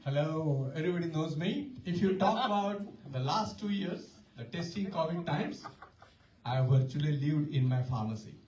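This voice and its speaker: A man, a pharmacist running as a candidate, talking loudly and animatedly. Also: a little high, moderate pace. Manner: loud, animated, laughing. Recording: muffled distant mic in echoey hall with crowd.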